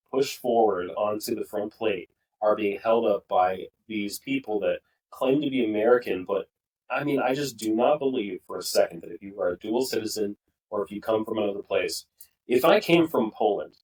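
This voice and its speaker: A man's voice: lowish voice, a little mellow, recorded from a distance, poor audio. A young man co-hosting a podcast, giving earnest, opinionated political views in a rambling, casual way with frequent restarts. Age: young adult. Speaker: podcast co-host.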